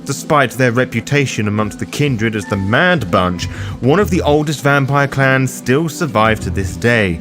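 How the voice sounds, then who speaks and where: Man narrating with lively, swinging intonation. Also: mid-range voice, normal speed. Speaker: host of a roleplaying lore guide series. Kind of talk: scripted narration. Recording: music behind the voice. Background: background music.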